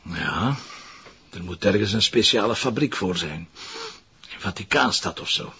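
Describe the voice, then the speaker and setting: An actor playing a wry, irreverent police commissioner with a Flemish accent and a husky voice, in a detective drama. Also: male voice, lowish voice, expressive, a little slow, lo-fi sound, music in the background. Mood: wry, joking. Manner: sardonic, informal, hesitant openings. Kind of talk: naturalistic detective drama dialogue.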